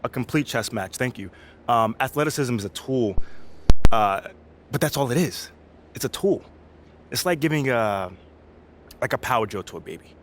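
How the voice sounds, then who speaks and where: A man's voice: medium pitch, animated, normal speed, pauses often, close to an everyday voice. An actor playing an earnest young male basketball rookie in a video game, talking in an informal, self-assured, slangy way. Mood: explaining, assured. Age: young adult. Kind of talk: video game cutscene acting, casual interview talk. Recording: clear background noise.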